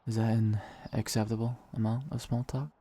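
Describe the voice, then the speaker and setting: A man playing an easygoing, affectionate young emperor in an audio drama, wry and relaxed. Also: on the low side, a little fast, natural voice.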